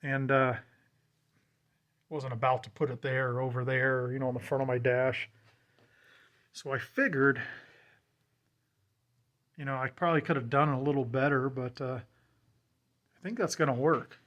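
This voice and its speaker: A man's voice: mid-range voice, fairly even intonation, moderate pace, pauses often, a bit bright. An American man talking casually and off the cuff as he shows a homemade project, in a folksy, self-deprecating way. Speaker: DIY vlogger.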